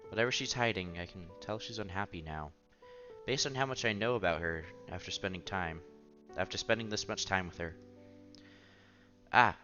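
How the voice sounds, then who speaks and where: A man with a lowish voice reads a visual novel aloud as he plays, slipping between narration and casual reaction. He sometimes trips on a line and repeats it. The sound is a bit dull and lo-fi, with game music playing behind him. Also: normal speed, pushed and bright. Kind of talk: playthrough narration, reading story text aloud with casual reactions. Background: visual novel background music.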